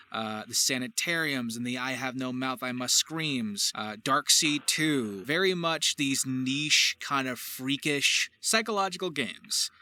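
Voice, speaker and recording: A man's voice: mid-range voice, sharp tone. A man talking casually as a voice actor and writer about his creative work, in an effusive, emphatic and wordy way. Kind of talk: casual question-and-answer behind-the-scenes commentary.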